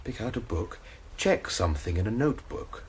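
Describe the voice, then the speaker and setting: A man's voice: on the low side, very animated, normal speed, darkish tone, a little hiss or hum. An actor playing an anxious young male student, telling his story in a rambling, worried way in a radio drama. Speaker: student suspect. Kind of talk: BBC radio drama acting.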